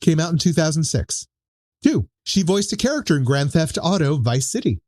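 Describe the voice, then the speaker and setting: A man's voice: fairly high pitch, very animated, moderate pace, darkish tone, dry recording, clean studio sound. A man hosting a podcast, running a trivia quiz in a casual, chatty style. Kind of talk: casual quiz-show chat.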